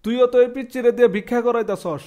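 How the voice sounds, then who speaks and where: A man's voice: very high-pitched, rapid-fire, mellow. A man voicing a bossy, crooked beggar chief in a cartoon, pompous and speechifying, with a Bangladeshi accent. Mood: suspicious. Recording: music behind the voice.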